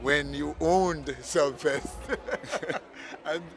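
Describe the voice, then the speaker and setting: A Jamaican man doing live interviews at a festival, with emphatic, drawn-out phrasing. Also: on the high side, creaky. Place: backstage at a music festival. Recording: loud music and crowd behind.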